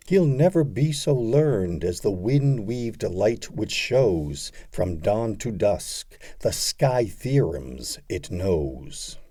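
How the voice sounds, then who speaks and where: A man reading poetry aloud as an audiobook, in a dramatic recitation with measured, deliberate phrasing and pauses. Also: low voice, warm tone. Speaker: audiobook reader.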